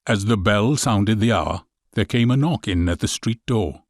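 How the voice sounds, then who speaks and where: Deranged killer narrator, for gothic audiobook: husky, intense, theatrical, wildly varied pitch. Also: male voice, expressive, normal speed, darkish tone, no room sound, studio quality. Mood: sly, self-satisfied. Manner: intense, theatrical, emphatic repetition. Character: deranged murderer narrating his crime, proud, then frantic. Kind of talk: dramatic gothic audiobook reading.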